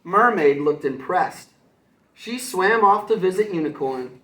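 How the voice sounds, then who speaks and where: A man's voice: high voice, animated, measured pace, a bit bright, recorded from a distance. Echoey male teacher reading a children's storybook. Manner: explains the story in simple words for children. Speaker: kindergarten teacher. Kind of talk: animated storybook read-aloud for children.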